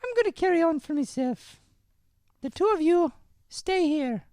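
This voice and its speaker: A woman's voice: very high voice, animated, normal speed, mellow, no echo. A woman playing a scornful, dismissive young Irish girl in an improvised radio comedy, curt in manner.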